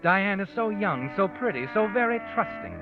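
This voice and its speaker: Sinister narrator over loud music. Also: male voice, high-pitched, expressive. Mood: knowing, taunting. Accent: American. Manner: ominous, deliberate, insinuating. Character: sinister, knowing male narrator taunting the guilty. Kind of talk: 1940s radio suspense narration. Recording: muffled vintage radio, music underneath. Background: dramatic music.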